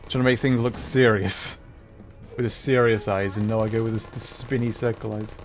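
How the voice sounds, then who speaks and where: A man chatting casually on a livestream while commenting on his gameplay, often thinking aloud haltingly. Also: lowish voice, fairly even intonation, faint music behind. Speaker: livestreamer playing a game. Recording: faint background noise, game ambience.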